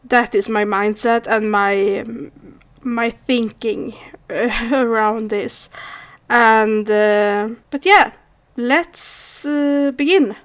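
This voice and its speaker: A woman's voice: a little high, extremely slow, smooth. A woman in her thirties from Sweden with a Swedish accent, speaking thoughtfully to camera with frequent hesitations. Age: 30s. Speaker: vlogger. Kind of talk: casual monologue to camera.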